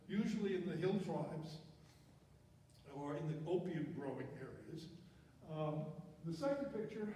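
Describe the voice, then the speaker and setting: An older man giving a relaxed slide talk about his career, with asides and self-corrections. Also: fairly high pitch, slight background noise. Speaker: retired UN program director giving a talk. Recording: echoey hall, speaker far from mic.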